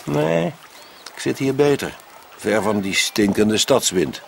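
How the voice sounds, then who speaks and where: An actor playing a self-satisfied, grumbling Roman prefect in a radio play, musing in a pompous, drawn-out way. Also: male voice, fairly low pitch, expressive, gravelly, a little hiss or hum, full character voice. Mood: disdainful. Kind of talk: radio drama acting.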